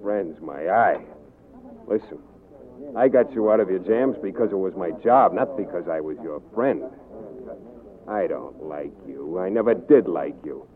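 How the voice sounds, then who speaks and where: A man's voice: low-pitched, animated, unhurried. A man acting a spiteful, sarcastic Hollywood press agent in a 1940s radio drama. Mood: contemptuous. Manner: sarcastic, contemptuous. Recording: narrow, muffled 1940s radio recording.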